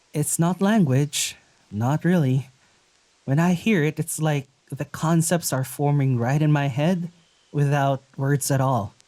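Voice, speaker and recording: An actor playing a gentle, wary young traveler in a naturalistic audio drama, speaking in a hesitant, musing way. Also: mid-range voice, takes it slowly, long pauses, lightly acted. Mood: wondering.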